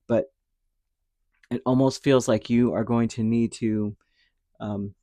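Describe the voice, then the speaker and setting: A man giving a casual spoken tarot reading, rambling and reassuring, his throat dry and a little hoarse after a cold. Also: mid-range voice, steady tone, slow, mellow, no echo. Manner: rambling, reassuring, with many fillers and restarts. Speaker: tarot reader.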